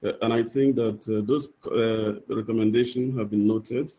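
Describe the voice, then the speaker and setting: Low-voiced hesitant man on a video call. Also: fairly even intonation, normal speed, dark tone, slight background noise. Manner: hesitant, many fillers. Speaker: regional representative. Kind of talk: meeting remarks on a video call.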